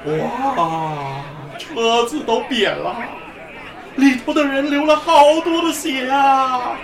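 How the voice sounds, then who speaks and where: A woman playing an expressive, theatrical narrator in an audio drama who muses and exclaims about heaven. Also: high voice, takes it slowly, crowd noise. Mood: shocked, alarmed. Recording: roomy, distant, music behind.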